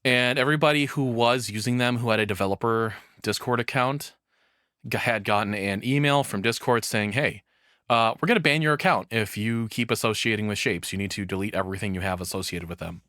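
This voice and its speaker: A man's voice: on the low side, brisk, pushed and bright, dry recording, clean studio sound. A man doing casual live reaction commentary in a rambling, frank, crudely humorous way. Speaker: reaction streamer.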